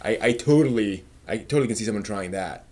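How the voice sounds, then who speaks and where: A man hosting a casual live stream, replying to viewer comments with thinking pauses and firm, emphatic opinions. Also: on the low side, a little mellow.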